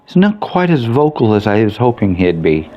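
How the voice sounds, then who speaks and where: A man's voice: lowish voice, very animated, moderate pace, dull audio. A man giving casual, laid-back commentary as he plays a video game, half talking to himself. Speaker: gamer and video host. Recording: faint background noise.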